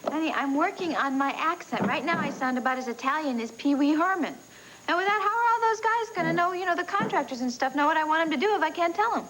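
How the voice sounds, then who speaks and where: A nervous, high-voiced young wife. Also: female voice, lively intonation, fast talker, slight background noise, poor audio. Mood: worried. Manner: nervous, self-doubting, soft. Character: anxious young wife and designer. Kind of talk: daytime soap acting.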